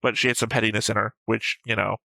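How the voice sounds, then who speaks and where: A man with a low, crisp-edged and forceful voice that breaks into gravelly fry. He talks as an opinionated co-host in a casual discussion, arguing his points. The sound is dry and close. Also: flat delivery, fast, no room sound. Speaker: co-host of a reaction show. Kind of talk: casual discussion and episode commentary.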